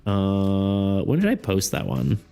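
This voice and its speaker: Rambling low-voiced male streamer, faint music. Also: wildly swooping pitch, takes it slowly, creaky, warm tone, smooth. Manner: rambling, laughs often, many fillers. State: losing his voice. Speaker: art and game design streamer. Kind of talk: casual live-stream chat. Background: faint background music.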